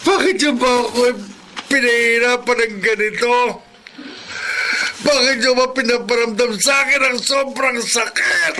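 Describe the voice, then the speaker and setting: A sick, despairing man in a Filipino radio drama. He speaks with a Filipino accent in a very high-pitched, anguished voice, stretching his words slowly. The recording is clearly noisy. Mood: agonised, wailing. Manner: anguished, drawn-out, wailing delivery. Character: gravely ill, despairing man in agonising head pain.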